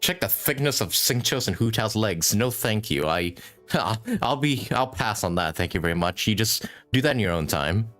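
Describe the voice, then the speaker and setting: A man giving casual live commentary as a game streamer, joking and quick to laugh. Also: on the low side, expressive, a bit bright. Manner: jokey, laughs easily, sweary. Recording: stream with game music behind.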